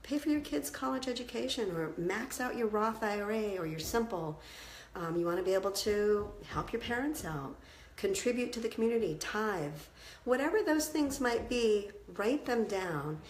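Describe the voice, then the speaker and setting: A woman's voice: mid-range voice, animated, normal speed, echoey room, a little hiss or hum, rough recording. A woman business coach, congested from a cold, giving a conversational, encouraging talk on planning goals. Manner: conversational, encouraging, with frequent restarts. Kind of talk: instructional talk to camera.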